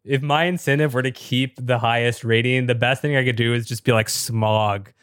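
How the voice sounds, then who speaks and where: Flat, slightly raspy man, a little sick. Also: medium pitch, moderate pace, no room sound, studio quality. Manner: chatty, explains at length with many filler words. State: slightly sick, losing his voice. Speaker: podcast co-host and top competitive player. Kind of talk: casual podcast explanation.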